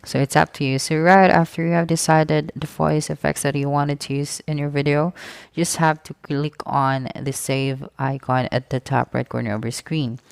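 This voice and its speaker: A tutorial host narrating step-by-step screen instructions in a smooth voice with some creak, recorded as a close voice-over. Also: medium pitch, little pitch movement, normal speed, no room sound.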